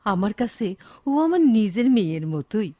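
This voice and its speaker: A woman's voice: mid-range voice, very animated, normal speed, mellow, close to an everyday voice. A woman with a Bangladeshi accent acting an affectionate, hospitable older village mother-in-law in a Bengali radio drama. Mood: warm, heartfelt.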